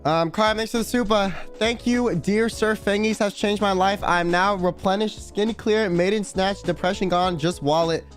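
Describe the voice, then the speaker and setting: A man's voice: high voice, moderate pace, hardly any pauses. A young man streaming a casual late-night chat, rambling in slang and reading donations aloud. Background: faint background music.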